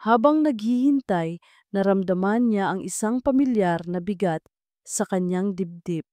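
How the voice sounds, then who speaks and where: A woman narrates a dramatic story from a script. Her voice is soft-edged and mellow, with a middle pitch, and the recording is very dry and close, with no room sound. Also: expressive, a little slow, no echo.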